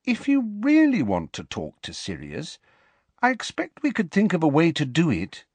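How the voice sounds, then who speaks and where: Man voicing a gentle, persistent teenage girl. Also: on the high side, wildly swooping pitch, normal speed, no echo, pitched above the performer's own voice, exaggerated voice. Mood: thoughtful. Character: bold, thoughtful teenage girl, caring and persistent.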